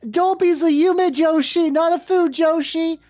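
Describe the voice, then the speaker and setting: A woman's voice: extremely high pitch, steady tone, measured pace, a little mellow, dry recording, voice pushed up. A woman voicing a meek, miserable male house elf who pleads and refers to himself in the third person, in a comic fanfiction reading. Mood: pleading, distressed.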